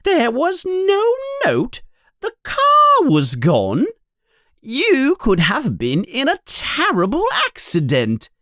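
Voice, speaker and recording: A man's voice: extremely high pitch, huge pitch swings, slow, a bit bright, breathy edge, dry recording, clean studio sound, voice pushed up, heavily acted. A man voicing a stern, plump, motherly woman who scolds shrilly and turns sweet with guests. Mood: angry.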